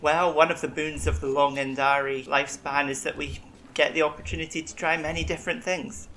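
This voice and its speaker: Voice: medium pitch, little pitch movement, brisk, fairly bright tone, a little hiss or hum, lightly acted, rough recording. An actor as an eccentric, centuries-old alien doctor with a mid-range voice, chatty and eager. The diction is learned and polite, in science-fiction audio drama style, over faint ambience. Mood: chatty, reflective.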